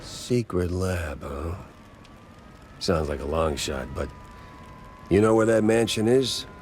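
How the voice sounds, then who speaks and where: A man's voice: sits low, takes it slowly, noisy background. A man playing a world-weary, wisecracking cartoon mouse private detective with a dry, sardonic delivery in a hardboiled noir game. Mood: serious, gruff.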